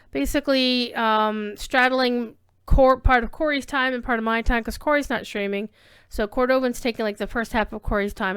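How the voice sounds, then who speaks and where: A woman with a high, very clear and smooth voice hosts a casual livestream. She talks to her viewers in a chatty, informal ramble, drifting from one thought to the next with effusive warmth in her wording. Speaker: livestream host and reader.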